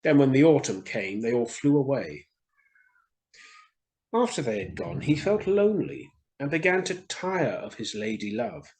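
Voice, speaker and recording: Animated male children's storyteller. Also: medium pitch, unhurried, slight background noise, low-quality recording. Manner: expressive, addressing children directly. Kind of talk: children's story reading, scripted narration.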